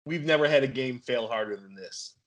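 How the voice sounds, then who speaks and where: A man's voice: medium pitch, a little fast, fairly bright tone. Man hosting a casual quiz. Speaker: podcast game host.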